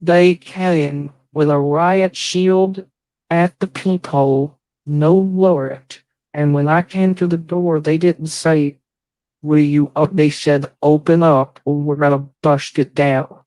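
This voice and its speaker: A man chatting casually on a live stream, halting and rambling, swearing often. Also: a little high, fairly even intonation, darkish tone, no room sound, poor audio.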